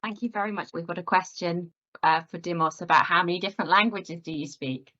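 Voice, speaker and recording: A woman's voice: fairly low pitch, fairly quick, sharp tone, no room sound, highs cut off. Polite woman moderating a Q&A. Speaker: host.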